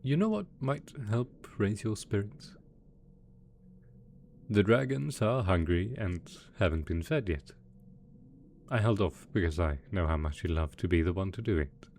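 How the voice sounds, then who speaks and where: A man playing a doting, possessive mad scientist boss in an ASMR roleplay, soft-spoken, coaxing and sweetly sinister. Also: deep voice, animated, unhurried, a little hiss or hum. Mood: affectionate, soothing. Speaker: voice actor in audio roleplay.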